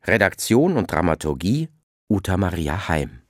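A man's voice: low voice, sing-song, normal speed, no echo, studio quality, faint music behind. A man reading out the title and credits of a radio play as a scripted announcement.

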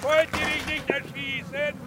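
A raving war veteran in a radio play, his voice driven extremely high. He bellows taunts and threats in slow, drawn-out bursts, wild and furious, over clear background noise with clicks and buzzing. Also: flat delivery. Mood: furious, defiant. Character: raving one-legged war veteran, furious and foul-mouthed. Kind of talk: radio drama acting.

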